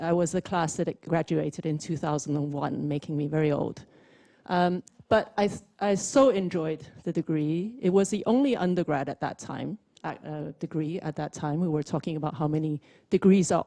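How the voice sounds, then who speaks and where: A woman's voice: fairly low pitch, warm tone. A woman in her forties, from Zimbabwe, giving a chatty, self-deprecating talk on a panel with many fillers. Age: 40s. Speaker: panel speaker. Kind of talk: informal presentation.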